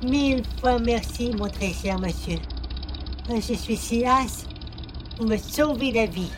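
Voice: medium pitch, slow pace, noisy background, natural voice. Personne jouant un dévot malchanceux, plaintif et formel. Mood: relieved, weary. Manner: formal, plaintive, theatrical. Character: hapless, weary, pious churchgoer with formal old-fashioned speech. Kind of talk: old-time radio comedy acting.

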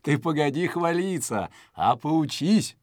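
An actor playing a stern but kindly village schoolteacher in an audio storybook. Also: male voice, a little high, expressive, measured pace.

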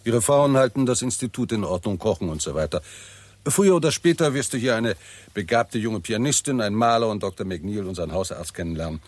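An actor playing a confident, shrewd professor of astronomy, explaining precisely in a 1960s German radio drama style. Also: male voice, lowish voice, expressive, a little fast, no echo. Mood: self-assured. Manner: didactic, precise, self-assured.

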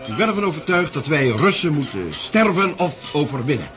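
A man's voice: on the high side, big pitch swings, fairly quick. A man playing an earnest, patriotic young hussar in a radio play. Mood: fervent. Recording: music behind the voice.

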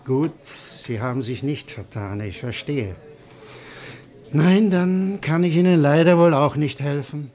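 A man voices a helpful head waiter in an old café, speaking. His voice sits somewhat high. His talk is chatty and obliging, as in a classic radio play. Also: a little slow, darkish tone, close to an everyday voice.

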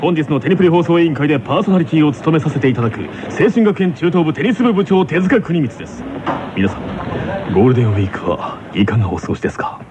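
An actor playing a stern teenage tennis captain who hosts a radio drama show with formal, dramatic announcing. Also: male voice, on the high side, sing-song, quick pace, noisy background. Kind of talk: scripted comic radio drama hosting.

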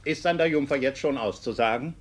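An actor playing a stern, dignified court inspector in a 1950s radio play, formal and reproving. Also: male voice, mid-range voice, fairly quick, close to an everyday voice. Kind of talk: 1950s German radio drama acting.

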